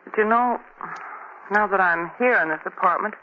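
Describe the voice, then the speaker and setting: A woman's voice: mid-range voice, moderate pace, close to an everyday voice. An actress playing a refined, anxious and slightly exasperated society woman in a 1940s radio drama. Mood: uneasy. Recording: dull, faintly noisy 1940 radio recording.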